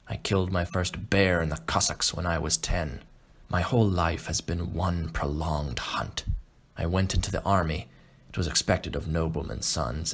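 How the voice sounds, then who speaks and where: A man playing a refined, boastful aging Russian aristocrat and hunter in an audiobook, speaking in a measured, pompous way. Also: sits low, creaky, a bit bright, heavily acted. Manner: pompous, measured, self-satisfied. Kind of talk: audiobook character acting.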